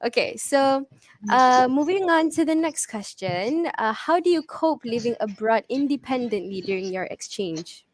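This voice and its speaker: A woman's voice: sits high, expressive, normal speed, no room sound. A woman informally moderating an online panel over a video call. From Malaysia.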